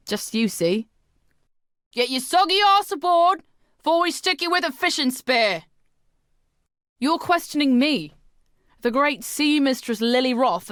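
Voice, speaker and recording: A woman's voice: medium pitch, a bit bright, no room sound, studio quality, close to an everyday voice. An actress playing a brash, bawdy young female pirate in a video game, talking in swaggering, salty old-time sailor slang. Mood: bawdy, defiant, then commanding. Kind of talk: video game character dialogue.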